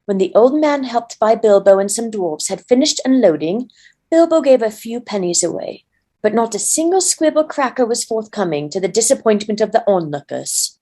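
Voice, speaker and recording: A woman's voice: a little high, expressive, normal speed, dark tone. A woman narrating a storybook as a volunteer reader.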